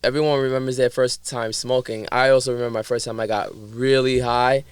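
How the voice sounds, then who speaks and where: A man's voice: medium pitch, fairly quick, crowd noise. A man with a New York accent, guest on a podcast, chatting casually and easily. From Harlem, New York. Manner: relaxed, easygoing, frequent "you know" and "man".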